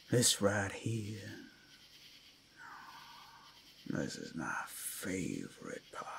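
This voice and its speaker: A man playing a predatory male werewolf in an ASMR roleplay, menacing and seductive, theatrical, with a gravelly voice that often drops to whispers. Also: low voice, full character voice. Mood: taunting, menacing. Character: predatory male werewolf toying with his prey. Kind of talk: ASMR roleplay, dramatic monologue.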